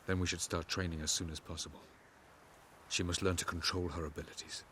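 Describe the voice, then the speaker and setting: An actor playing an ancient, stern elf sage in a fantasy game, speaking formally in a measured, condescending way. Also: male voice, sits low, little pitch movement, pushed and bright, slight background noise. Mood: commanding. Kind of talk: video game voice acting.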